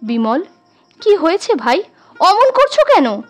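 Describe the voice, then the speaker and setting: A woman's voice: extremely high pitch, very animated, takes it slowly, faint music behind, pitched above the performer's own voice, full character voice. A woman voicing a doting, sentimental village sister-in-law in a story reading, affectionate and emotional. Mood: fond, concerned.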